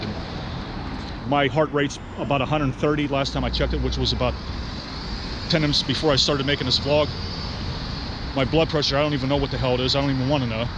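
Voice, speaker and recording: A man in his forties with a head cold, walking a city street at night and talking bluntly and profanely into a handheld phone camera. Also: mid-range voice. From Pittsburgh, United States. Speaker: vlogger. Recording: street noise behind the voice.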